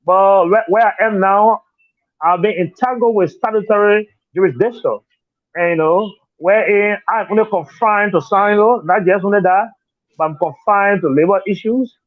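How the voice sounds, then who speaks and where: A man's voice: high voice, moderate pace, dry recording. A man with a Liberian accent answering interview questions as a political candidate, earnest and repetitive, often saying "you know". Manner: earnest, repeats words, leans on "you know". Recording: tinny dry phone line.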